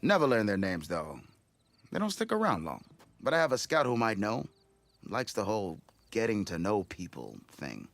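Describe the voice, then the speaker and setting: Blustering expedition boss, swooping theatrical delivery. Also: male voice, lowish voice, wildly swooping pitch, moderate pace, gravelly, a bit bright, no room sound, heavily acted. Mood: persuasive. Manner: theatrical, wheedling salesman's patter. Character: blustering, self-important male expedition leader, shifty salvage boss. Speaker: expedition leader. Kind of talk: video game cutscene dialogue.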